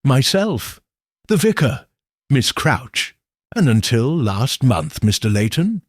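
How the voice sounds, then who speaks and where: A man voicing a plain, wary church worker who answers hesitantly, in a husky voice. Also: medium pitch, very animated, slow, no echo, studio quality.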